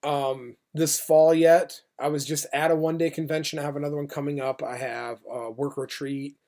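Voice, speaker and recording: Male, American, slightly high voice. Informal, hesitant animator updating followers on production, vlog style. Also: little pitch movement, moderate pace, darkish tone.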